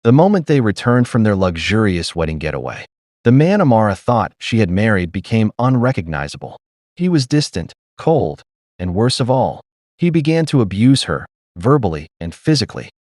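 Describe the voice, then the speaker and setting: A man reads a dramatic tale in a deep voice. His delivery is solemn and measured, yet the melody moves up and down a great deal. The sound is close and dry, with no room around it. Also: very animated, a little mellow, dry recording. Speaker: narrator. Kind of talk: scripted dramatic storytelling narration.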